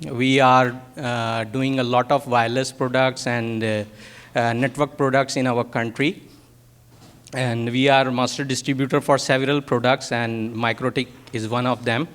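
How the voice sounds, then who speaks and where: A man with a Pakistani accent and Urdu as his first language presents technical material to a workshop. His voice is clean and smooth, though his delivery is halting as he searches for words. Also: mid-range voice, little pitch movement, fairly bright tone. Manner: halting, hesitant, searching for words in non-native English.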